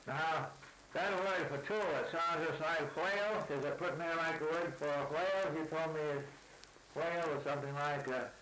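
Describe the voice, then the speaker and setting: An older man working through words with a speaker of another language, repeating each one back slowly and asking plain questions. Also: a little high, sharp tone, slight background noise, low-quality recording. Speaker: interviewer learning native words. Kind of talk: word elicitation session.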